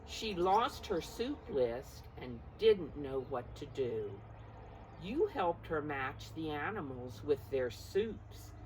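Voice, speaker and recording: A woman teacher reading a picture book aloud to preschoolers, encouraging them with simple explanations and questions, emphasising key words, in a slightly noisy recording. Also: low-pitched. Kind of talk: interactive picture-book read-aloud for young children.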